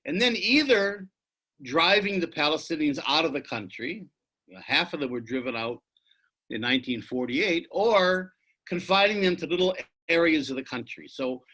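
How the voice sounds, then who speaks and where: A man's voice: a little high, lively intonation, normal speed, pushed and bright, rough recording. An older man, an interview guest on a video call, explaining history emphatically and lecturing with frequent "you see" and restarts. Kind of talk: earnest explanatory conversation.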